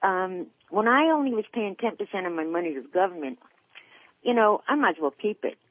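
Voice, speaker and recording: A woman's voice: medium pitch, expressive, brisk, dry recording. Woman in her sixties on a tinny phone line. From New York State, USA. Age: 60s. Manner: rambling, hesitant, many ums. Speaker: caller. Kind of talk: phone-in conversation. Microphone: telephone.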